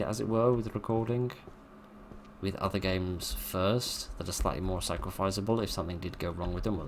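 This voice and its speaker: A hoarse man giving dry, wry, rambling commentary while playing a horror game at his computer. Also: low-pitched, a little hiss or hum. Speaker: gameplay commentator. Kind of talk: let's play commentary.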